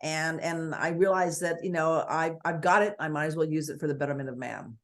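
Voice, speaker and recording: A woman with a booming voice, a guest speaking forthrightly and with energy in an interview over a video call. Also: a little fast. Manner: forthright, energetic, piles on points.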